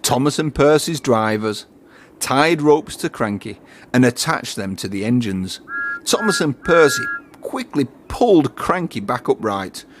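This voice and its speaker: A man's voice: mid-range voice, slight background noise. A man narrating a children's storybook, reading aloud.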